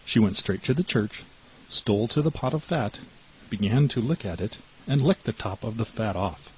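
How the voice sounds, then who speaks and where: A man with a fairly low voice narrates an audiobook fairy tale. His scripted reading is expressive, with a highly varied pitch. The recording carries some background noise. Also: normal speed, poor audio.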